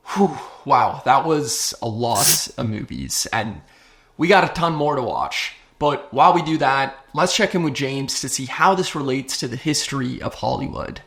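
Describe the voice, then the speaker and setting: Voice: mid-range voice, sing-song, normal speed, fairly bright tone. A host narrating a scripted video essay in a casual, conversational way. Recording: music under the voice. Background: background music.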